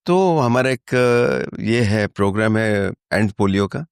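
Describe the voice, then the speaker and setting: A man's voice: lowish voice, animated, slow pace, gravelly, clear, resonant tone, dry recording, clean studio sound. Older Indian man explaining in conversation. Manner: explanatory, illustrates with anecdotes and asides. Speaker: podcast guest. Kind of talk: conversational storytelling, mixing Hindi and English.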